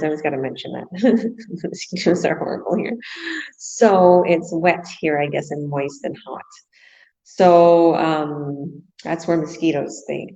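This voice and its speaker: A woman's voice: low voice, dark tone. A Canadian woman hosting a casual live stream, chatting enthusiastically and laughing often. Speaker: host. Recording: dull lo-fi stream audio.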